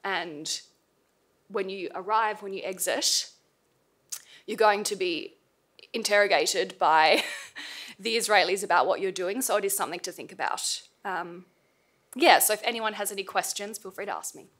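A woman's voice: mid-range voice, normal speed, long pauses, a bit bright. Young woman with a tired, fading voice. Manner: hesitant, many fillers and restarts. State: losing her voice. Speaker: panel speaker, former intern. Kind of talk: informal panel talk. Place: seminar room, panel table. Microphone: table mic.

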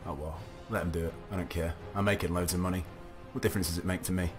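A young man casually commentating a strategy game, thinking aloud, his voice a little gravelly from a recent cough. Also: sits low, fairly even intonation, quick pace. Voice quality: slightly gravelly. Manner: musing aloud, chatty, self-talking. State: recovering from a cough, slight gravel. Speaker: let's play commentator. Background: faint game music.

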